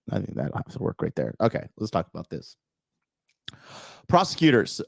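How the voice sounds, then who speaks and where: A man hosting a livestream, reading an article aloud and answering the chat in a blunt, crude way, with a raspy, slightly nasal voice. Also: medium pitch, a little mellow, dry recording. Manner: blunt, crude, talks back to live chat. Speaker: podcast host.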